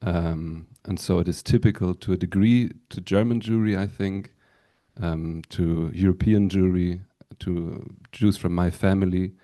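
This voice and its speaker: A young man, a student guest, answering haltingly and repeating words. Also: low voice, steady tone, dark tone. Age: young adult.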